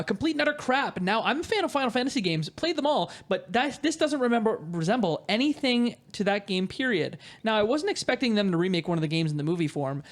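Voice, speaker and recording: Podcast host, informal and conversational, moving a film discussion along and reading reviews out. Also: medium pitch. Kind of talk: casual hosting and reading out reviews.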